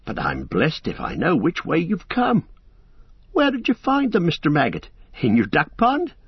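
A man's voice: fairly high pitch, wildly swooping pitch, normal speed, breathy edge, no echo, highs cut off, voice pushed up, exaggerated voice. A man voicing a cheerful young male hobbit with a breezy, teasing manner.

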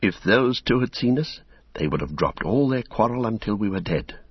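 Man voicing a low, hushed, weary gentleman hobbit. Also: lively intonation, breathy edge, no room sound, muffled recording. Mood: cautious, grave. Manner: hushed, measured, grave.

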